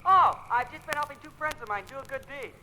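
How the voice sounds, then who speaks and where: A tiny, carefree boy chatters away in a very high, light voice. Faint noise runs behind him. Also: expressive, fast. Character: tiny mischievous boy hero.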